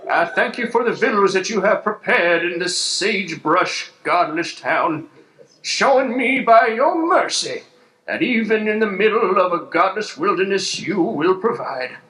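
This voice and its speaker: Wandering Old West parson played by a man, slow and high, earnest courtly drawl, live radio play. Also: animated, sharp tone, full character voice. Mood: pious. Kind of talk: improvised Western radio play. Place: live improv show on a stage. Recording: echoey stage with background noise.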